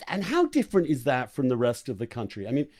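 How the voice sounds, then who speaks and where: A man's voice: medium pitch, huge pitch swings, fast talker, a little mellow. A man hosting a conversational interview podcast, speaking in an enthusiastic, emphatic and informal way. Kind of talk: conversational interview with a spoken introduction.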